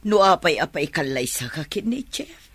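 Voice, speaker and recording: A woman's voice: fairly low pitch, sing-song, unhurried, a bit bright, slightly rough, dry recording, exaggerated voice. An actress playing an opinionated woman in a family radio drama, with a Filipino Ilocano accent. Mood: reproachful.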